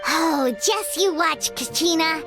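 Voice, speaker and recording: A woman voicing a small, chatty fairy-like girl companion in a video game, with a squeaky childlike voice and exclamatory delivery. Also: slow, heavily acted. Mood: eager, boastful. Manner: exclamatory, bubbly. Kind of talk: English video game voice acting. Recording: game music behind the voice. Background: game music.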